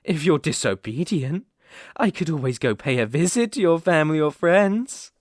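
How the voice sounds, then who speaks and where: A British man playing an obsessive, menacing young boyfriend in an intimate ASMR roleplay, coaxing softly with slow, deliberate emphasis. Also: a little high, expressive, no room sound, clean studio sound, lightly acted. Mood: cold, threatening. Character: obsessive, possessive, menacing young boyfriend holding partner captive.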